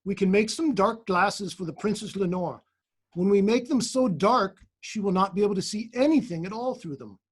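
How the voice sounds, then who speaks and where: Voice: mid-range voice, moderate pace, husky, close to an everyday voice. A performer playing a thoughtful, self-assured royal chamberlain in a storybook readers theater, confident and explanatory. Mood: pleased, confident.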